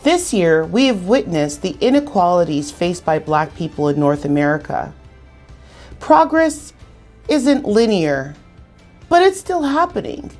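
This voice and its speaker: A woman hosting a virtual community event, reading a measured scripted introduction. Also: lowish voice, big pitch swings, darkish tone, faint music behind. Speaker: event host.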